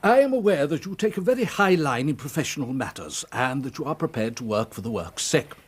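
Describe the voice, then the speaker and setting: An actor playing a pompous, long-winded, easily flustered school headmaster in a period radio drama, speaking formally and with agitation. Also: male voice, mid-range voice, big pitch swings, fairly quick, husky.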